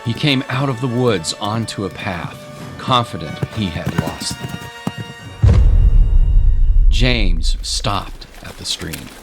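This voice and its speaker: A man's voice: on the low side, slow pace, long pauses. A man giving dramatic scripted narration of a story. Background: music.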